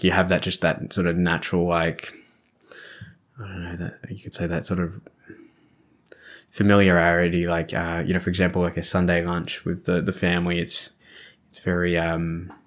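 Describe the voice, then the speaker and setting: A man's voice: sits low, completely flat delivery, moderate pace, long pauses, a bit bright. A young Australian man hosting a casual podcast chat, rambling with lots of fillers and restarts. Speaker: podcast host.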